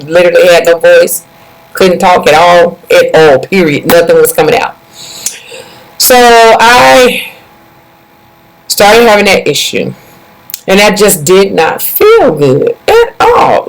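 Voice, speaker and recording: A woman's voice: on the low side, animated, unhurried, poor audio. A woman, chatting casually to her viewers and calling them y'all. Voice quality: raspy. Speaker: vlogger. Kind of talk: casual personal storytelling to viewers.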